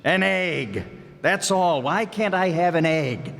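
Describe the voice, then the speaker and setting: Brief: a man doing a gruff, grumbling husband for a live stage radio comedy, hall echo. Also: high-pitched, lively intonation, slow, fairly bright tone, full character voice. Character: grumbling henpecked husband, bowling ball salesman. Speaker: amateur radio actor. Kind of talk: old-time radio comedy acting.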